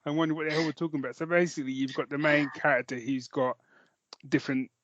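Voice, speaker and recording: A man's voice: a little high, fairly even intonation, quick pace, a bit bright, dry recording, highs cut off. A man, co-hosting a podcast in casual, jokey, rambling chat with some swearing. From London, England. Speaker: podcast co-host.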